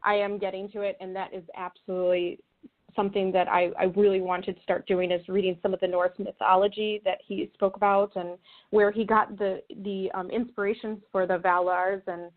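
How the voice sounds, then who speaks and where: A woman's voice: medium pitch, little pitch movement, fairly quick. A woman answering as an interview guest, earnest and conversational, often rephrasing as she goes. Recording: thin phone-like call audio.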